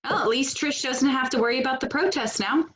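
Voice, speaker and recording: A woman's voice: sits high, brisk, fairly bright tone. A woman playing a bossy, exasperated protest organizer in a video-call comedy, with pointed, sarcastic emphasis. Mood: resigned, casual. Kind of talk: comedic stage acting over video call. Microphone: video call microphone.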